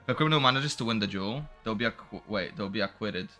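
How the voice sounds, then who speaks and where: A man giving casual, excitable, slangy game playthrough commentary, switching between reading dialogue aloud and reacting. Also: fairly low pitch, fast talker, faint music behind. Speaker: game streamer.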